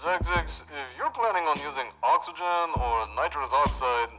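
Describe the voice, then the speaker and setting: A man's voice: a little high, animated, moderate pace, bright, forceful tone, soft background music, rough recording. A man playing an exasperated, sardonic mission handler talking over radio comms in an audio drama.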